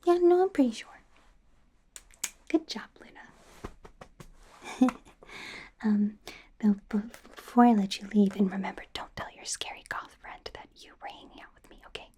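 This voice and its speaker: A woman playing an awkward, eager werewolf trainee doctor in a soft, breathy, hesitant whisper for an ASMR roleplay. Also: medium pitch, animated, a little slow, pauses often, lightly acted. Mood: self-doubting, playful. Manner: hesitant, rambling, giggly, stammering.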